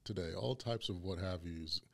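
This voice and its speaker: A man with a deep baritone voice hosting a casual barbecue podcast, joking and laughing through the banter. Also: moderate pace, gravelly, darkish tone. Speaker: podcast host.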